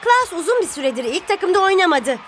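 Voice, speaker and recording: A woman's voice: extremely high pitch, very animated, fast talker, fairly bright tone, faint music behind, full character voice. A woman playing a sceptical football co-commentator in a cartoon. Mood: dry, sceptical.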